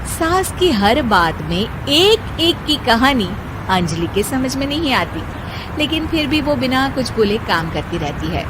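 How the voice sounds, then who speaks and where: A woman narrating a cartoon bedtime story in a steady, plain storytelling manner. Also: a little high, unhurried, background noise.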